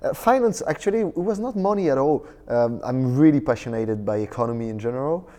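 A man's voice: mid-range voice, expressive, fairly quick, a little mellow, long reverb tail. A man in his thirties from France with a French accent, a startup co-founder talking as a guest in an interview, hesitant and full of fillers. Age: 30s. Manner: hesitant, many fillers and restarts. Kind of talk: casual interview. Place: tech conference.

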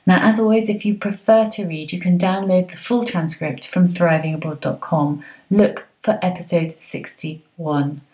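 A woman hosting a podcast, reading a clear, friendly intro and then chatting with her guest. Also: lowish voice, fairly even intonation, normal speed, dark tone, rough recording. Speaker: podcast host and change coach. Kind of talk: scripted podcast intro, then interview chat.

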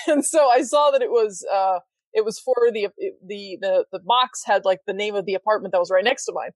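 A woman of average pitch chatting as a podcast guest, rambling through anecdotes and laughing often. The recording is dry and close. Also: fairly quick, no echo. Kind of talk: casual chat.

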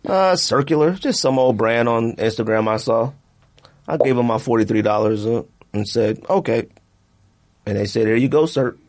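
A man hosting a podcast in casual, slangy chat, talking in an animated way and repeating himself for emphasis. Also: medium pitch, moderate pace. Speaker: podcast host. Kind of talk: casual chat, friendly debate.

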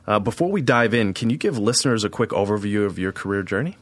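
A man hosting a podcast interview, reading a scripted intro and then asking relaxed, friendly questions. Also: lowish voice, quick pace, dry recording. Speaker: podcast host.

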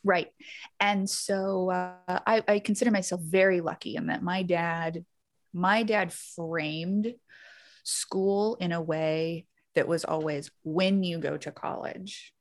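A woman's voice: fairly low pitch, steady tone, slow. A woman from Louisiana raised in the Missouri Ozarks, telling stories in a casual chat as a podcast guest. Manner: chatty, uses many "like" fillers and laughs. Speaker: guest, doctor.

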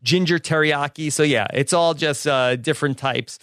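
A man's voice: a little high, moderate pace, a bit bright, no room sound, clean studio sound. A man hosting a casual recap podcast, chatting and passing questions to his co-hosts by name. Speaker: podcast host.

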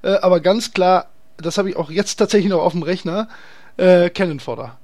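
A man's voice: sits high, fairly quick, a little mellow. A man hosting a casual podcast chat, talking in an enthusiastic, slangy and emphatic way. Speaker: podcast host.

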